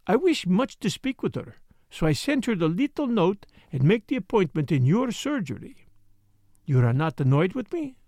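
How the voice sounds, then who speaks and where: A man's voice: sits high, sing-song, normal speed, warm tone, no echo, studio quality, voice pushed up, heavily acted. A man voicing a fussy, self-satisfied Belgian detective in an audiobook, speaking with a put-on French accent in a precise, mannered way. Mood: smug, teasing. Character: fussy, smug middle-aged male Belgian detective. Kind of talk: audiobook character voice.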